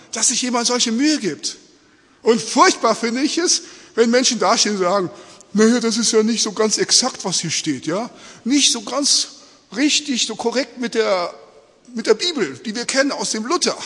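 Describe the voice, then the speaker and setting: A man with Spanish as his mother tongue preaches in an informal, questioning way. His voice sits high and swings widely in pitch, with a rough, husky grain. It rings through a reverberant hall. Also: fairly bright tone. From Australia-born, mother from Peru, living in Germany. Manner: engaged, questioning, often adding rhetorical check-ins. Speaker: preacher addressing a congregation. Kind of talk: informal, conversational sermon in simple words. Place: large echoing indoor hall, speaking to an audience.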